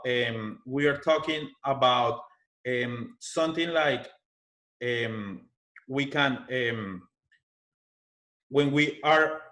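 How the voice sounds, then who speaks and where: A man's voice: mid-range voice, fairly bright tone. Colombian-accented man giving a webinar talk. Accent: Colombian Spanish. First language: Spanish. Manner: speaks with fillers and restarts, non-native phrasing. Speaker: university sustainability manager. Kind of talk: webinar presentation. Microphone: computer mic on a video call.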